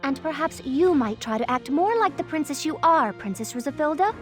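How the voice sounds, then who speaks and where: A woman's voice: sits high, animated, a little fast, soft background music. A woman playing a stern, dutiful bodyguard and soldier in a video game, speaking formally and exactingly. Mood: stern, disappointed. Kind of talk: video game cutscene voice acting.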